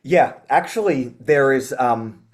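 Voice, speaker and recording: A man's voice: mid-range voice, slow pace. A man, a metal band frontman, chatting as a podcast guest, rambling and laughing, with many filler words. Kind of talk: casual interview chat.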